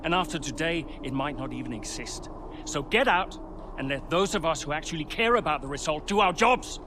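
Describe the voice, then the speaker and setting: An actor playing an embattled racing team boss in a game cutscene, firm, commanding and urgent. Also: male voice, on the high side, expressive, fairly quick, background noise, lightly acted. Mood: angry. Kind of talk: dramatic cutscene acting.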